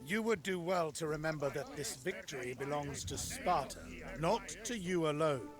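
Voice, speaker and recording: Man as a stern, higher-voiced Spartan leader. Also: lively intonation, lightly acted. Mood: stern rebuke. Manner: measured, formal, admonishing. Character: grave, pious Spartan leader, cautioning and stern. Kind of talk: dramatic video game acting. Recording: music behind the voice.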